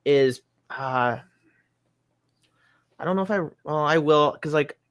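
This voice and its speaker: A man's voice: fairly high pitch, slow, clear, resonant tone, dry recording, clean studio sound. A young adult man, a musician guest on a podcast, chatting casually and rambling a bit as he thinks aloud.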